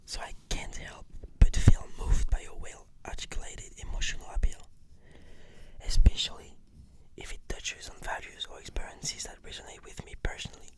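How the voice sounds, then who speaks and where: A woman whispering measured, articulate, scripted answers as the client in an ASMR roleplay. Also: rough recording.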